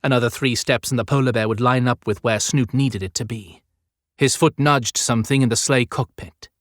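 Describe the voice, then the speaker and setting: A man narrating an audiobook in a theatrical, suspenseful style with deliberate emphasis. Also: mid-range voice, no room sound, clean studio sound. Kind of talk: dramatic scripted fiction narration.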